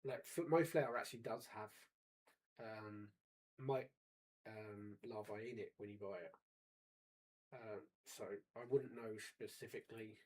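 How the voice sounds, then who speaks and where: A man of forty co-hosting a casual chat, wry and pedantic, quick to argue a point. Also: fairly low pitch, a little mellow, a little hiss or hum, low-quality recording. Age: 40s. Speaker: podcast co-host.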